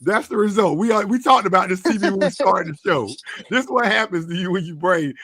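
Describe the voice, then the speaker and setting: A man's voice: sits high, quick pace, a bit bright, husky, dry recording. A man hosting a casual live stream, bantering bluntly with plenty of swearing and teasing as he talks and reads out comments. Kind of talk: casual panel banter, reading out viewer comments.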